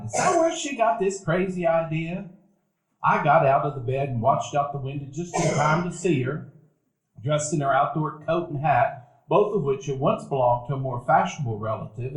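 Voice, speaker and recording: A middle-aged man narrating a memory with wry, reflective storytelling in a live stage radio play. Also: a little high. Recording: echoey live theater room.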